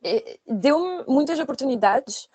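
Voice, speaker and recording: A woman's voice: high-pitched, lively intonation, a little slow, warm tone, husky, no echo. A woman in her twenties answering interview questions conversationally, often searching for her words and repeating them. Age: 20s. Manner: hesitant, searching for words with repetitions. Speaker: podcast guest, former trainee.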